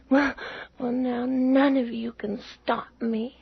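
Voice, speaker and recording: High, creaky, childlike madwoman. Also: level tone, slow, full character voice. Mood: taunting triumph. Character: unhinged childlike murderous woman, playfully menacing. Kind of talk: old-time radio horror drama acting. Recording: dull old radio recording, faint noise.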